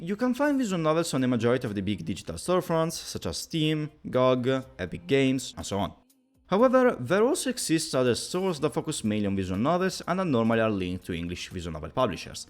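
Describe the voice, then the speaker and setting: A man's voice: medium pitch, wildly swooping pitch, quick pace, faint music behind. A man giving a scripted, lecture-style explainer as a video presenter, with wry, teacherly asides.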